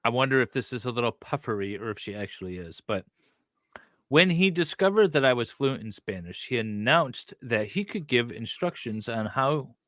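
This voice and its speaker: A man's voice: medium pitch, lively intonation, moderate pace, fairly bright tone, dry recording, clean studio sound. A middle-aged man casually reading aloud and breaking off for wry, teasing asides as a co-host. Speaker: co-host reading and reacting to a document.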